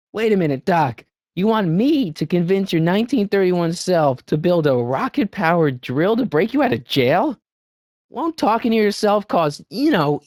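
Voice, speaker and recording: An excitable teenage boy hero for an adventure video game. His delivery is lively and swoops up and down, with small hesitant stammers and an incredulous edge. He comes across as good-hearted, recorded dry with no room around him. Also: sits high, no echo. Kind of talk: animated video game voice acting.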